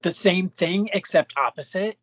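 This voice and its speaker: A man co-hosting a film podcast, talking casually in halting phrases with lots of restarts and "you know"s. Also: sits high, dry recording. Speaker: podcast co-host. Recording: dry, slightly dull podcast mic.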